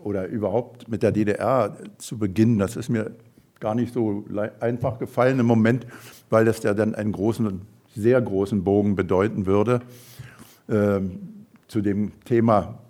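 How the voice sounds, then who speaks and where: A man's voice: fairly low pitch, dark tone. An older man from Berlin giving an invited talk at an evening event, speaking thoughtfully with frequent fillers and restarts. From Berlin, Germany. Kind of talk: lecture talk.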